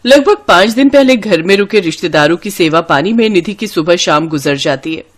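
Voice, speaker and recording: A woman's voice: on the low side, expressive, fairly quick. A woman narrating a cartoon story in a dramatic storytelling manner.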